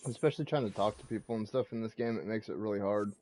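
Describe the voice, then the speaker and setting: A man's voice: lowish voice, quick pace, mellow. A man giving casual gameplay commentary as a streamer, talking through what he is doing. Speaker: game streamer.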